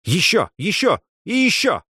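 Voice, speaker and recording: A male audiobook reader puts on a fiery young woman medic under great stress. She exclaims in agitation, her pitch lifted well above the reader's own, with hardly any room sound. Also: sing-song, a little slow, sharp tone, breathy edge, no room sound, studio quality, exaggerated voice. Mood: frantic.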